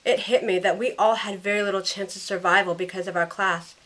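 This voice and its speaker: A woman's voice: medium pitch, fairly even intonation, brisk, fairly bright tone, lightly acted. A woman playing a caring young heroine who narrates her own ordeal in a scripted first-person audio drama. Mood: anxious narration.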